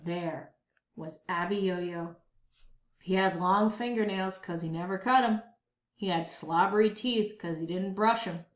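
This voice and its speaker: A woman reading a children's story aloud with expressive, dramatic, emphatic phrasing. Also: lowish voice, unhurried. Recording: dull lo-fi, roomy echo.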